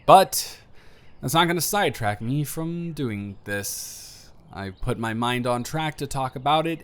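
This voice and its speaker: A man performs a nervous young man in an intimate audio drama, opening up to a close friend. His delivery is emotional and rambling, halting at times and then emphatic. The pitch is very animated, moving widely from phrase to phrase. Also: vocal fry. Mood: nervous, resigned. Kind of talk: intimate scripted audio roleplay.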